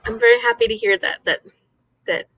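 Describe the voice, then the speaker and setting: A woman's voice: a little high, wildly swooping pitch, a little fast, muffled recording. A woman co-hosting an online reading livestream, chatting casually and repeating herself for emphasis. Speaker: co-host of online reading sprints.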